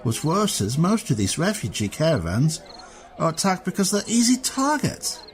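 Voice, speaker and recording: A man's voice: on the high side, wildly swooping pitch, normal speed. A man playing a dutiful, weary fort guard who reports respectfully and matter-of-factly to his lord. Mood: grim.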